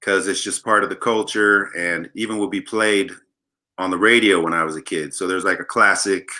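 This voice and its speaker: Bright-voiced joking man. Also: low voice, fairly even intonation, normal speed. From Los Angeles. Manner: joking, playful, rambling storyteller. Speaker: guest bassist. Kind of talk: casual interview chat.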